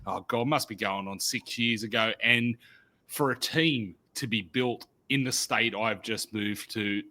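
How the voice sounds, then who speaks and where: A man in his thirties with an Australian accent, co-hosting a casual podcast chat as a loud, animated storyteller over a desk mic on a video call. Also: on the low side, a little slow. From Sydney, Australia. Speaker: podcast co-host. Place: home studio.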